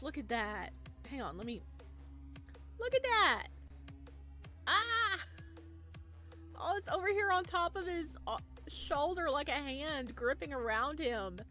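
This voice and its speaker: A woman reading a visual novel aloud with dramatic narration and exclamatory, spontaneous reactions. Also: high-pitched. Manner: expressive, exclamatory. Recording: game music behind the voice. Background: game music.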